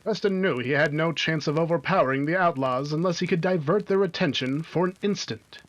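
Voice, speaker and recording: A man's voice: fairly high pitch, fairly quick, dull audio, close to an everyday voice. A man playing the announcer of an old-time radio adventure, narrating with urgent, emphatic drama. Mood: suspenseful.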